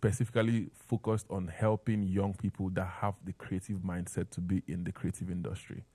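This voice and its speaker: A man's voice: deep voice, flat delivery, brisk, warm tone, no echo. A man with a Nigerian accent talking conversationally as an interview guest, sharing personal stories.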